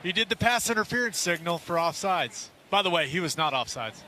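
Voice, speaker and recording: Animated, bright-voiced male football commentator. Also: sits high, a little fast, slight background noise. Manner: animated, questioning. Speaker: sports broadcast commentator.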